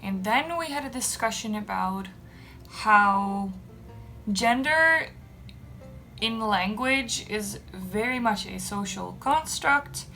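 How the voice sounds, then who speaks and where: A woman's voice: mid-range voice, animated, takes it slowly, vocal fry, pushed and bright, soft background music. A young adult woman, a native Dutch speaker from the Netherlands, chatting casually and enthusiastically as a book vlogger. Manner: enthusiastic, gushing about things enjoyed. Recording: echoey room, faint background noise.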